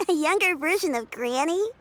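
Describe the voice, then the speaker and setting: A polite, very high-voiced young child. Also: female voice, noisy background, exaggerated voice. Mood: curious. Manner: hesitant, earnest, polite. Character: polite, caring, hesitant young child, a grandchild. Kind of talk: English video game voice acting.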